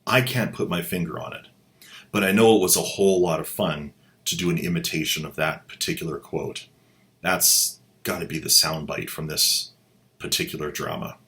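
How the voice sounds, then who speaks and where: A man's voice: sits low, fairly even intonation, moderate pace, a bit bright. A man hosting a video, giving mocking, irreverent commentary on an old audio drama. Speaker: channel host and commentator. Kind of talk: sarcastic commentary.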